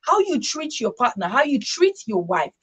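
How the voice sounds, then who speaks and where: Woman, radio discussion host, somewhat high pitch, raspy edge, emphatic, repetitive for stress. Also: sing-song, normal speed. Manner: emphatic, repeats phrases for stress, checks with "right?". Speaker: radio talk show host.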